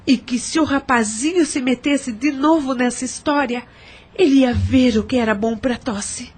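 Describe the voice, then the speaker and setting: A woman's voice: high voice, moderate pace, slightly rough. A Brazilian woman playing a troubled, regretful estranged mother in an audio drama. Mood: troubled, remorseful.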